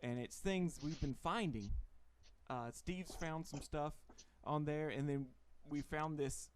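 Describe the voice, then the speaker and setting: Chatty Texan man, slightly high voice. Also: slow, a little hiss or hum. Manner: relaxed, chatty, laughs often, many fillers. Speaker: host. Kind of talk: casual livestream chat.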